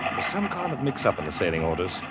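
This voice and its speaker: A man's voice: deep voice, big pitch swings, quick pace, heavy background noise. A man acting a seasoned sailor who grimly narrates his memories in a classic radio drama. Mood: reflective. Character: seasoned male sailor grimly recalling a murderous ship. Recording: old radio recording, loud music behind.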